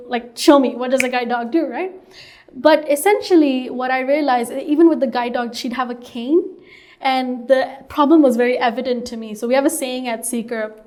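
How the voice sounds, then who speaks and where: Earnest young woman from Hong Kong. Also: a little high. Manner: earnest, storytelling, frequent "like" and "you know". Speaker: startup co-founder. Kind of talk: interview answers.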